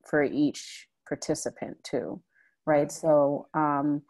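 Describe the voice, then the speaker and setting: A woman with a notably low voice co-hosts a relaxed online discussion over a video call. She thinks aloud with frequent "um"s and restarts. Her tone is reflective and unhurried. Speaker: co-host of a discussion salon. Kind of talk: casual group discussion.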